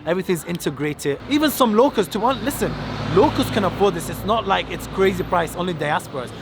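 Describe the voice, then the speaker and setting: Higher-pitched British man guiding through noisy streets. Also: expressive, brisk, vocal fry. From United Kingdom. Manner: chatty, informal, lists and explains. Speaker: local guide. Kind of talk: casual guided-tour chat. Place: outdoors on city streets and in vehicles. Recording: noisy outdoor street and traffic.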